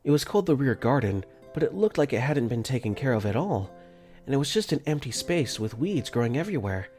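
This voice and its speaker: Brief: male narrator-lead, lively pitch, earnest and flustered, first-person visual novel dub. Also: fairly quick, faint music behind.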